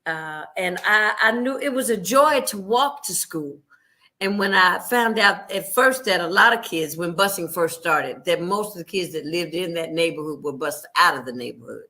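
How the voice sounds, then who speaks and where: Bright-voiced woman host, lowish pitch. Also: lively intonation, normal speed. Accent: Louisville, Kentucky. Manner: conversational, affirming, reminiscing. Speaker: interview host.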